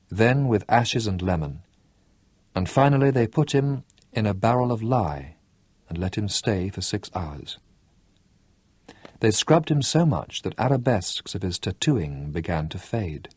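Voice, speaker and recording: A man reading a novel aloud in an expressive audiobook style, with lively, dramatic delivery and slowed emphasis. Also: fairly low pitch, breathy edge, dry recording.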